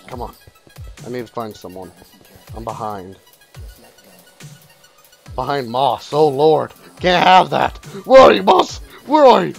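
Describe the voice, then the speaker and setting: A man casually commenting on his own gameplay, playful and laughing, with sudden excited yelps. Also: mid-range voice, gravelly. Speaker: gaming channel host.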